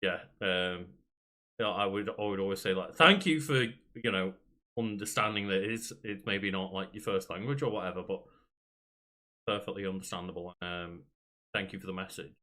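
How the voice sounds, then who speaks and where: A man's voice: deep voice, moderate pace, pushed and bright. A young man from Merseyside, chatting casually as podcast co-host. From Merseyside, England. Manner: chatty, reassuring, filler-heavy. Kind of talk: casual chat.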